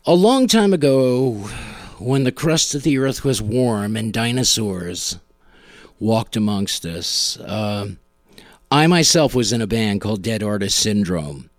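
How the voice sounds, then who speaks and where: A middle-aged man casually hosting a music show, talking in a wry, self-deprecating, halting way. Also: lowish voice, animated, no echo, studio quality. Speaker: podcast host and musician.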